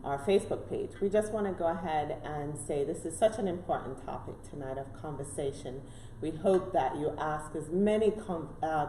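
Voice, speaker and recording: A woman hosting a live online talk show, welcoming viewers briskly and prompting her guest with short affirmations. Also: deep voice, mellow. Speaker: host. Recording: echoey room with faint noise.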